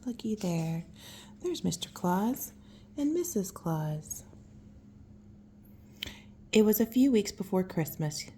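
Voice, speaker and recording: A woman's voice: medium pitch, expressive, takes it slowly, long pauses, mellow. Woman reading a storybook, noisy recording. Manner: folksy, cooing remarks on the pictures. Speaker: storyteller reading picture books for children. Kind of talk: bedtime read-aloud with casual asides.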